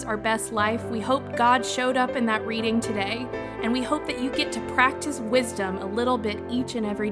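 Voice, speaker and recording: A woman reads a scripted podcast announcement as its announcer. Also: a little high. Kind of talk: scripted podcast intro and outro. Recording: loud music under the voice.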